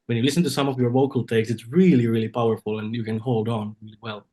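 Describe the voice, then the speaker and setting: A man's voice: mid-range voice, fairly even intonation, quick pace, mellow. A man interviewing someone, asking questions in a casual conversational style.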